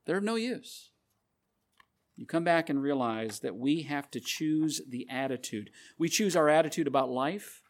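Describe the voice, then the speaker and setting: Earnest male preacher, measured emphasis. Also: fairly high pitch, lively intonation. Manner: earnest, repeats scripture references, measured emphasis. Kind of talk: sermon.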